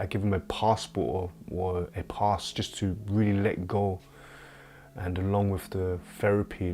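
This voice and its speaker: Man in his forties, low voice, calm and unhurried, reflective healer, lapel mic, living room. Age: 40s. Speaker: energy healer and massage therapist. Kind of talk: livestream Q&A with viewers. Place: dim lamp-lit living room. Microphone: clip-on lapel mic.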